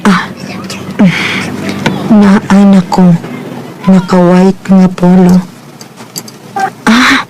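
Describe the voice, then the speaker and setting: A woman's voice: mid-range voice, little pitch movement, extremely slow, pauses often, noisy background. An actress playing a shy, flustered young woman in love, speaking with a Cebuano accent in a Bisaya radio drama. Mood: nervous, flustered. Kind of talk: Filipino Bisaya radio drama acting and narration. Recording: radio drama with loud music behind.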